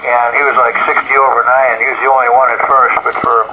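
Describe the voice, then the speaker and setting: Over a dull, noisy two-way radio comes an older man with a middling pitch. He is an amateur operator chatting casually, rambling along and halting often as he goes. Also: flat delivery, pushed and bright. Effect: heard over two-way FM radio.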